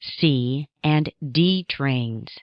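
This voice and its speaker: An American woman reading scripted subway announcements as an automated announcer, in measured, segmented phrases. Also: extremely low pitch, dry recording, highs cut off.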